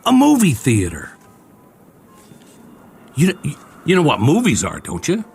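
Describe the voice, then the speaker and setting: An actor playing a chatty, wry American soldier in a radio drama, talking in a folksy, rambling, joking way. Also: male voice, on the high side, huge pitch swings, measured pace, gravelly, a little mellow, slightly rough, soft background music, full character voice. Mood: eager. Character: chatty, wry, friendly American soldier, lonely war survivor.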